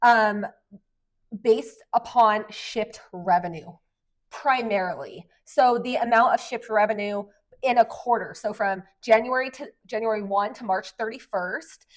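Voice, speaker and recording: A woman around forty hosting a podcast, polished in her intro and chatty when she explains things. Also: medium pitch. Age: late 30s to 40. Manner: brisk, polished delivery that turns chatty and explanatory. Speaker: podcast host and Amazon influencer. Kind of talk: podcast conversation.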